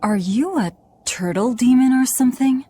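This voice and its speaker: A woman's voice: sits high, moderate pace, dark tone, husky, soft background music. A woman playing a cynical, bossy young female demon in a video game, curt and sardonic. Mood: stern, sardonic. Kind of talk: video game cutscene acting.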